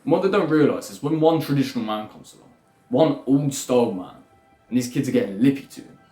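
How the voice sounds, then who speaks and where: A man's voice: medium pitch, animated, fast talker, warm tone. A young man lecturing bluntly in a rambling self-improvement monologue, swearing freely. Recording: echoey room with faint music.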